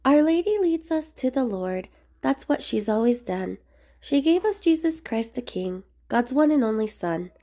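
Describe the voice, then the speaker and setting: A woman reading a rhyming children's picture book aloud in a measured, expressive sing-song way. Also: a little high. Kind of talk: storybook read-aloud narration of rhyming verse.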